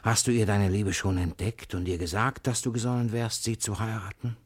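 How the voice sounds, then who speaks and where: An actor playing a sly, domineering old father in a period radio comedy, with hesitant, probing speech and a quick temper. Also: on the low side, steady tone, slightly rough. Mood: cunning interrogation. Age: older. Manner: hesitant probing questions, repeated short words. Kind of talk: German radio play acting, period comedy.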